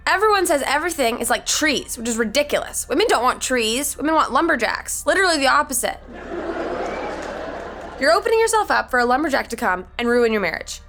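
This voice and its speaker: High, animated, bossy friend. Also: female voice, brisk, slight background noise, heavily acted. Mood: exasperated, emphatic. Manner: emphatic, opinionated. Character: bossy, opinionated, chaotic young woman friend. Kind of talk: sitcom audio drama acting.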